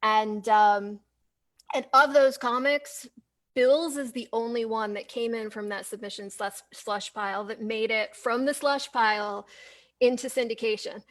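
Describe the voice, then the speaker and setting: A woman with a fairly high voice hosts a casual livestream interview. She talks conversationally, often circling back to repeat or rephrase a phrase as she goes. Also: steady tone, a little slow, fairly bright tone. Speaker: host, comics editorial director.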